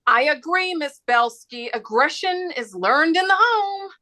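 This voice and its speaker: Bright, sharp actress as a brash mom. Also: female voice, sits high, lively intonation, measured pace, exaggerated voice. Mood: brash, indignant. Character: brash, indignant mom arguing on the phone. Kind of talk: comedy script table read.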